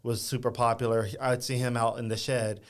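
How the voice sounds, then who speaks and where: A man hosting a casual podcast interview, easygoing and hesitant, filling pauses with ums and uhs. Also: mid-range voice, flat delivery. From Louisiana. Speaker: podcast host.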